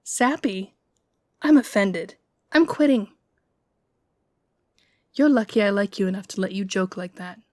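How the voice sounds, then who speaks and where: A woman plays a young lady-in-waiting in a romantic fantasy audio drama. She is gossipy and blunt, teasing in an informal way and quick to take offence. Her voice sits at a middle pitch in a dry recording. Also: animated, darkish tone, no room sound, natural voice. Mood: playful gossip. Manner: informal, teasing, openly indignant.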